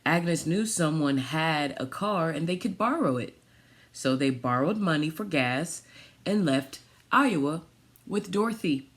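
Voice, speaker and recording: Female storytime reader, fairly low voice, very unhurried, reads picture-book text and points things out to young listeners. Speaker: storytime reader for a children's theatre. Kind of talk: read-aloud picture book storytelling with asides.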